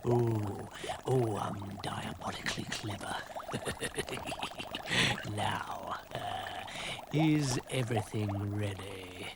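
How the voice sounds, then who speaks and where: A man voicing a scheming male villain who mutters low and chuckles wickedly. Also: slow. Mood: gloating. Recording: noisy, distant recording.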